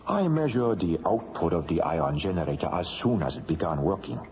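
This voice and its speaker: Assured space scientist, old radio drama, low lively voice, muffled recording. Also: male voice, a little fast. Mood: confident, pleased. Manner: technical, confident. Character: capable, self-assured male scientist space explorer. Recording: dull vintage radio recording.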